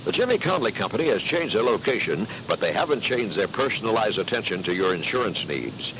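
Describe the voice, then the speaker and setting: A man's voice: fairly low pitch, very animated, a little fast. An American man reading a scripted local radio commercial as an announcer. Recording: noisy taped radio broadcast.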